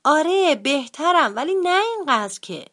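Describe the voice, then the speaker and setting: A woman voicing a young girl bear from a children's storybook, pleading in a high whiny tone. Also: big pitch swings, fairly bright tone. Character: young girl bear, sick but cheeky.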